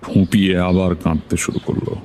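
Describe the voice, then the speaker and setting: A man's voice: sits low, level tone, normal speed, a little mellow, a little hiss or hum. A man narrating a suspense story in the first person, as a scripted dramatized reading.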